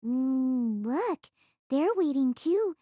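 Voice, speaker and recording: Woman as a high-pitched, pushy teenage schoolgirl. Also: expressive, very slow, warm tone, no echo, studio quality, heavily acted. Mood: urging. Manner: pushy, teasing. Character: bold, meddling teenage schoolgirl egging on her friend.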